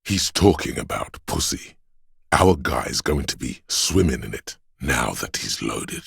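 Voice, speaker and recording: A man voicing a sleazy, hedonistic inner voice of addiction in a video game, with a slow, coaxing, streetwise manner and a deep gravelly, husky sound. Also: expressive, fairly bright tone, dry recording, clean studio sound, full character voice. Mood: craving, goading. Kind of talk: video game character voice acting.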